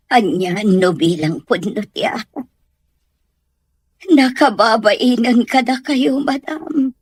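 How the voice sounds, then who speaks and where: A woman's voice: a little high, slow, slightly rough, no echo. A woman acting as a respectful, concerned household helper in an amateur audio drama, asking questions deferentially.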